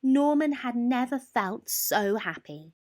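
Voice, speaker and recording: A woman storyteller reading a children's picture book expressively, with deliberate phrasing, drawn-out emphasis and dramatic pauses. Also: on the high side, expressive, darkish tone. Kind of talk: expressive bedtime story narration.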